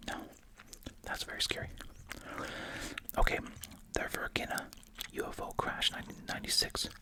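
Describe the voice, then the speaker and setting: An ASMR host speaking in a breathy, unvoiced whisper close to the microphone while chewing gum, reading aloud and adding casual comments. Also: moderate pace.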